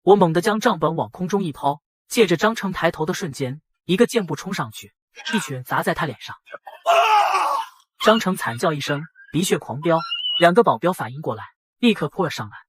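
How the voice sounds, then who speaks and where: A man with a husky voice narrating a dramatized first-person story. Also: fairly high pitch, animated, a little fast, no room sound. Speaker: narrator and voice of the male lead.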